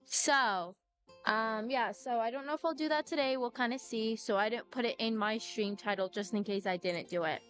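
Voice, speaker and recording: A woman's voice: fairly high pitch, normal speed, a bit bright, smooth. A young adult woman chatting casually and informally as she livestreams a game, rambling from thought to thought. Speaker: game streamer. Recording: game music behind voice. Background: video game music.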